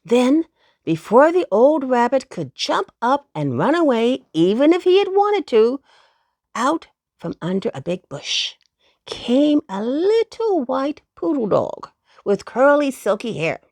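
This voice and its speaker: Female storyteller for children's tales: high voice, very animated, unhurried, close dry sound. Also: no room sound. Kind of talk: expressive children's story narration.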